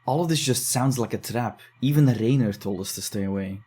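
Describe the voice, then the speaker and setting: A man playing a dry, deadpan skeptic. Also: medium pitch, fairly quick, slightly rough, lightly acted. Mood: arguing. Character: wary sarcastic young man, reluctant investigator. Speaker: voice actor. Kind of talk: audio drama acting.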